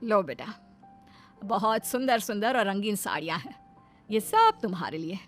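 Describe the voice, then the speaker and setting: A woman's voice: mid-range voice, lively intonation, normal speed, lightly acted. A woman voicing a middle-aged Indian storybook mother-in-law, speaking in an emphatic, instructive way. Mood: motherly, earnest. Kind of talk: storybook character dialogue. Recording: background music under the voice.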